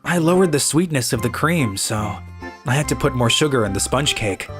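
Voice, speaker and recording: A man's voice: medium pitch, expressive, brisk. Earnest schoolboy narrator, acted by a man over music. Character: earnest, kind schoolboy who bakes cakes, narrating his story. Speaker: narrator and lead character of an animated story. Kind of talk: scripted narration and voiced dialogue. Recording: music behind the voice.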